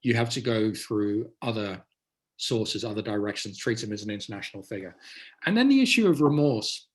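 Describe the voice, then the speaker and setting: A man's voice: lowish voice, animated, brisk. Man presenting a talk, conversational. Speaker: author giving a book talk. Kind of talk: presenting to an audience, anecdotal.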